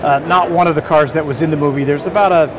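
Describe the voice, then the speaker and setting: A man's voice: fairly high pitch, fairly even intonation, quick pace, noisy background. A man in his forties, a company representative, chatting casually in an interview at a busy indoor trade show. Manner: easygoing, chatty with fillers and restarts. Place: busy indoor expo hall. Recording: loud crowd chatter behind.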